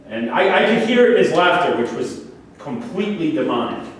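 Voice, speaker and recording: An actor in a staged play reading, playing a delusional, defensive murder defendant who speaks earnestly and insistently, repeating words haltingly. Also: male voice, on the high side, very animated. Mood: insistent, troubled. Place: staged reading in an echoey room. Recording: echoey room, distant mic, faint noise.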